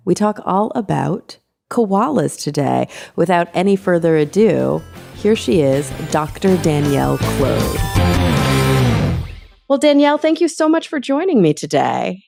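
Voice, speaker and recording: A woman's voice: low-pitched, gravelly, mellow. A woman hosting a podcast in a chatty, informal, conversational way, sounding slightly congested from a recent illness. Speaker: podcast host. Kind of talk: conversational podcast introduction and interview.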